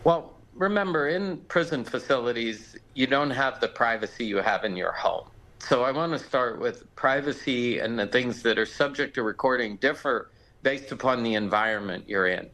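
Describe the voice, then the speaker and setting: An American man speaks as a legal expert in a live news interview. His mid-pitched voice is conversational and explanatory, like a lawyer laying out an argument. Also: lively intonation, moderate pace, bright, forceful tone, rough recording.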